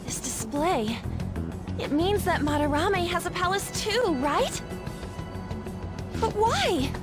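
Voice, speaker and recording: An earnest, polite teenage girl in a video game. Her voice is high and girlish, with a questioning, casual delivery over background music. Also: sing-song, slow pace, heavily acted. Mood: puzzled. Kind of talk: natural video game dub acting. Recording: music behind the voice.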